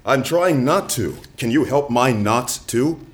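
A wry, hardboiled private eye played by a man in a noir radio play. He delivers wordplay deadpan, yet his mid-pitched voice rises and falls freely. He sounds dry and streetwise. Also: measured pace, lightly acted. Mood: exasperated, bantering. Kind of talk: noir radio drama acting with narration.

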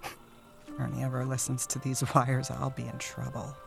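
A woman's voice: very deep voice, monotone, moderate pace, vocal fry, exaggerated voice. A woman playing a restless young wife who whispers wry confessions into a recorder. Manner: hushed confiding whisper with wry laughs. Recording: music playing under the voice.

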